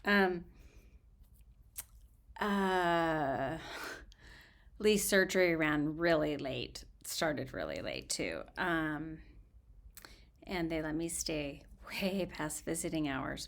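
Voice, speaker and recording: A woman storyteller talking casually and reading aloud with expressive, dramatic emphasis. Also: low voice, gravelly.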